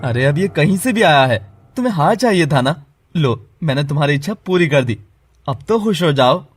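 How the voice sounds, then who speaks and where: A man playing a poor, hardworking, devoted husband in an animated moral story, earnest and pleading. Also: a little high, moderate pace, a little hiss or hum, close to an everyday voice. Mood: coaxing.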